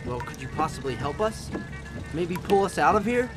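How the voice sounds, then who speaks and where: An actor playing a wisecracking, upbeat young husband on honeymoon, in old-time radio drama style. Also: male voice, a little high, very animated, normal speed, music in the background. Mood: upbeat, polite. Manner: jaunty, polite, wry.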